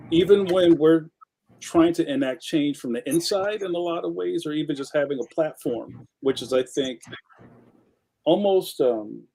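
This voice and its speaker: A man's voice: fairly high pitch, warm tone, rough recording. A man co-hosting a casual livecast over a video call, rambling a little and checking for agreement. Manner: casual and rambling, many fillers and restarts, asks for agreement. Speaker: co-host cartoonist.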